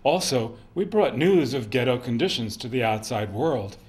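A man playing an earnest young resistance courier, giving a deliberate first-person account in a dramatic reading. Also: mid-range voice, animated, darkish tone, close to an everyday voice. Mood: grave, earnest.

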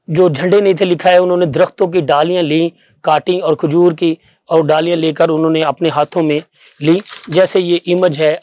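A middle-aged man, a pastor giving an explanatory Bible sermon in a halting way, repeating phrases for emphasis. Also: high-pitched, no echo. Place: small TV studio, seated at a desk. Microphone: lapel mic.

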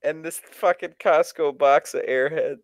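A man's voice: fairly high pitch, steady tone, measured pace, no echo. Crude, profane young man chatting. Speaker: streamer telling stories. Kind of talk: casual chat.

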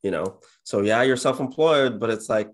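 A man in his twenties chatting casually as an interview guest on a video call, in a low-key, monotone manner. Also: moderate pace. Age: 20s. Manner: low-key, introverted, self-described monotone. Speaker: interview guest, real estate agent and YouTuber. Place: indoor home office, on a video call.

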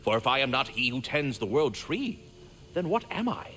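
A man's voice: mid-range voice, sing-song, moderate pace, bright, forceful tone, soft background music. A man voicing a courteous, fretful, erudite magical squirrel in a video game, speaking in formal, wordy, theatrical phrases. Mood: gloomy, self-doubting. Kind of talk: video game character acting.

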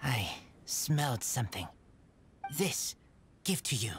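A man voicing an earnest, wolf-raised teenage boy in a game, with a breathy rasp and halting, clipped phrases. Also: medium pitch, sing-song, a bit bright, slight background noise, heavily acted. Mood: earnest, guarded. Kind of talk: game voice acting.

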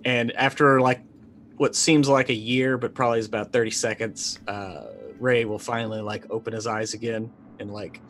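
A man's voice: mid-range voice, little pitch movement, normal speed, a bit bright. A middle-aged man playing a tabletop roleplaying game, describing his character's actions casually, in a hesitant, thoughtful manner. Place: indoor room, video call. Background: music.

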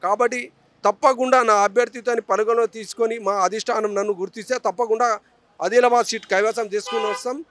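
A man's voice: sits high, fairly even intonation, fast talker, a bit bright. A man gives emphatic, repetitive assurances as a politician interviewed outdoors on a handheld mic. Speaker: politician seeking election candidacy. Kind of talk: news interview answers. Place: outdoors on a city roadside. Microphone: handheld reporter's microphone.

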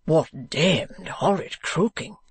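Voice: medium pitch, slow pace, husky, no room sound. A reader voicing an affected male dandy in a classic novel, drawling in a melodramatic, poetical manner. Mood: affected, indignant. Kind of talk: classic novel audiobook character.

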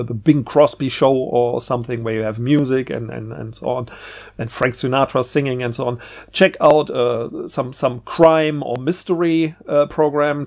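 A man giving a casual, rambling book review in a halting way, often repeating words. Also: on the high side, vocal fry, a little mellow.

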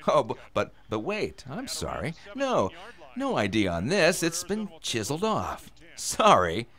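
An actor playing a menacing robber in a radio drama, taunting and sarcastic with a false friendliness. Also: male voice, medium pitch, huge pitch swings, measured pace, fairly bright tone, exaggerated voice. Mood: mocking menace. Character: menacing, mockingly friendly male robber posing as FBI agent.

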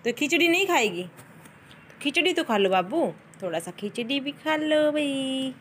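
A woman's voice: sits high, expressive, a little slow, fairly bright tone, slight background noise. A young woman talking playfully to her small child in a coaxing, sing-song way. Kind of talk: playful child-directed chat. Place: indoors in a bedroom at home.

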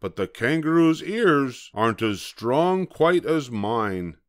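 A man's voice: fairly low pitch, wildly swooping pitch, slow pace, exaggerated voice. A man voicing a big, kind-hearted, earnest elephant in a children's storybook, pleading urgently in rhyme. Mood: urgent, encouraging. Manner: urgent, exclamatory, rhyming speech.

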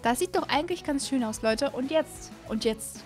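A woman in her twenties giving casual, rambling let's-play commentary in an expressive way, close on a studio microphone. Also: high voice, normal speed, faint music behind. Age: 20s. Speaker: host of a gaming channel. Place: small indoor streaming room at home. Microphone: close studio microphone on an arm.

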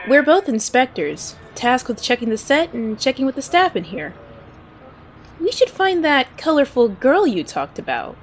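A woman's voice: high-pitched, big pitch swings, moderate pace, slight background noise. A woman playing a reserved, easily flustered young inspector in an anime-style fan audio drama, polite but terse and dry. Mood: businesslike.

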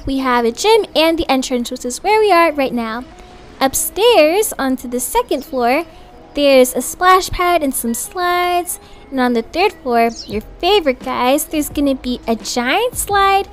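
A woman's voice: sits high, expressive, a little slow, faint music behind. A woman playing an upbeat, chatty young mother in an improvised family roleplay, casual, reassuring and gushing.

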